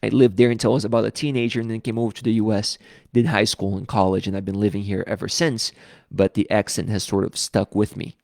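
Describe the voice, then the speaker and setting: A man's voice: on the low side, little pitch movement, quick pace, creaky, a little mellow, no room sound, clean studio sound. A man from Brazil with a Brazilian accent, hosting a live book-reading series in a casual, open, conversational way with frequent restarts. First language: Portuguese. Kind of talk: casual live-stream talk.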